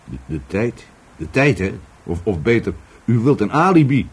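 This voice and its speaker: A middle-aged businessman in a radio drama, evasive and volatile under police questioning. His low, rough voice swings widely in pitch as he stammers, rambles and repeats himself. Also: big pitch swings, normal speed. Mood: defensive, wry. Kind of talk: Dutch radio play acting.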